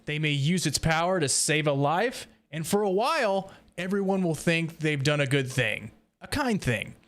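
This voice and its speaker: A man's voice: a little high, animated, moderate pace, fairly bright tone. A man hosting a roundtable, talking casually through discussion points with fellow fans. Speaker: podcast host leading a roundtable.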